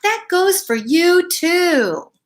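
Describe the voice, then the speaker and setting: A woman's voice: very high voice, very animated, extremely slow, pitched above the performer's own voice, full character voice. A woman voicing a big, tough, grumpy male dog from a children's storybook, with bossy, barking exclamations. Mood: annoyed, bossy. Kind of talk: children's storybook character reading.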